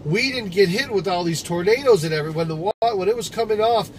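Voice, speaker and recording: A rambling man with a fairly high voice chats with his viewers while he drives. The recording is noisy, with clear road and car sound underneath. He keeps restarting his sentences as he talks. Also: quick pace. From Michigan, USA. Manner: rambling, conversational, with frequent restarts and fillers. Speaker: livestream host. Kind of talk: casual live-stream talk while driving. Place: inside a car, driving.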